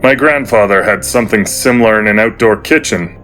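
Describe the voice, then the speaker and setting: An actor playing a calm craftsman in a fantasy audio drama who speaks in a measured, deliberate way. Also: fairly low pitch, soft background music, natural voice, poor audio.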